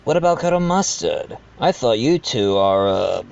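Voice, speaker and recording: A man's voice: medium pitch, big pitch swings, normal speed, gravelly, darkish tone, a little hiss or hum. A man playing a genial widowed doctor who hosts and narrates a murder-mystery audio drama in a chatty, slightly stumbling way, on a dull home recording. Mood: genial, flustered. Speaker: narrator and host of the dinner party. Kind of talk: fan audio drama acting with narration asides.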